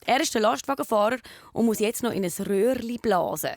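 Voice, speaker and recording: A woman's voice: fairly high pitch, lively intonation, brisk, no echo. A woman with a Swiss German accent presenting a children's show, explaining and asking questions in a casual reporting style.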